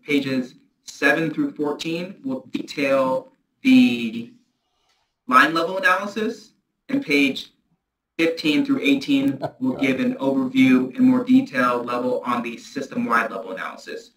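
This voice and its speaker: A man presenting a technical analysis at a transit board meeting over a video call, measured and procedural. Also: medium pitch, animated, a bit bright, echoey room, a little hiss or hum, rough recording. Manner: measured, procedural, restarts often. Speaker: transit agency staff presenter.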